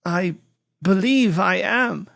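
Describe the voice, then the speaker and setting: A man playing an anguished, guilt-ridden imprisoned gentleman, narrating a literary audiobook in a theatrical, brooding manner. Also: high-pitched, lively intonation, slow pace, vocal fry, full character voice. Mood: despairing.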